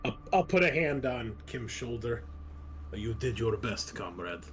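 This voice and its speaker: A man's voice: mid-range voice, very animated, measured pace, slight background noise. A man chatting casually as he plays a character in a tabletop roleplaying game. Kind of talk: casual chat while roleplaying. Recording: clear music behind the voice.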